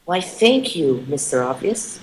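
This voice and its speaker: A man's voice: on the high side, big pitch swings, unhurried, crowd noise, low-quality recording. A man chatting over voice chat while gaming, trading sarcastic jabs and panicking when his character is in danger. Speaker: gamer and channel editor. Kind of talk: casual gameplay commentary.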